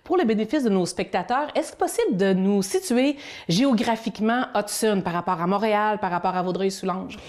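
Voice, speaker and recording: A woman hosting a TV interview in a studio, asking questions politely and formally, with pauses. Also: mid-range voice, lively intonation, darkish tone. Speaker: host. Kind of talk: TV talk show interview.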